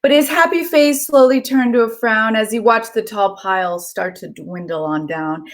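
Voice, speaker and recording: A woman children's author chatting casually and reading aloud to children, with an excitable manner. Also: on the high side, moderate pace. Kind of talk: casual chat and animated read-aloud for children.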